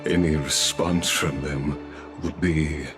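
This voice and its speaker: An actor voicing a courtly, scheming male noble host in a game cutscene, unctuous and flattering, chuckling often. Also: rumbling low, slow. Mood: probing. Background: game score music.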